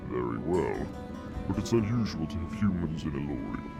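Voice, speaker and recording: Deep, formal, swooping dragon. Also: male voice, big pitch swings, creaky, music in the background, exaggerated voice. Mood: calm, doubtful. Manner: formal, measured. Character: huge old dragon mount, calm, formal, faintly amused. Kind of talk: fantasy audio drama acting.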